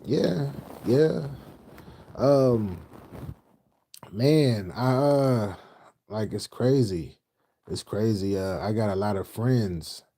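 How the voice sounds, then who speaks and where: A man's voice: fairly low pitch, drawn-out words, pauses often, mellow. A middle-aged man from Houston, casually chatting on a late-night livestream and reading comments. He talks loosely in slang, repeating his phrases and trailing off mid-thought. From Houston, Texas. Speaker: livestream host reading chat.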